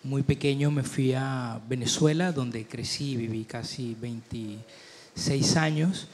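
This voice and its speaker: A middle-aged man from Chile, a film director answering festival interview questions thoughtfully, with many pauses and restarts. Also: mid-range voice, steady tone, a little mellow.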